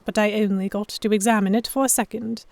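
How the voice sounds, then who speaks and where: A woman's voice: medium pitch, fairly even intonation, brisk, a little mellow, no echo. A woman narrating an audio drama in the first person and acting out the dialogue. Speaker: narrator and lead actor.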